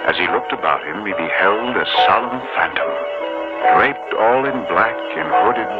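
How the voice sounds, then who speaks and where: A man acting as the storyteller in a 1950s radio drama, with measured, dramatic delivery. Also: fairly low pitch, animated, lightly acted. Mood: solemn, dramatic. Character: solemn storyteller narrating a Christmas ghost story. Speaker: narrator. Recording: dull old radio broadcast, loud music.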